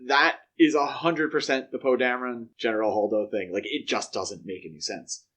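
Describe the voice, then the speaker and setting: A man with an average-pitched voice speaks as a podcast co-host. He offers casual film criticism, reasoning through his opinions in an analytical, conversational way. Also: fairly even intonation, normal speed, a bit bright.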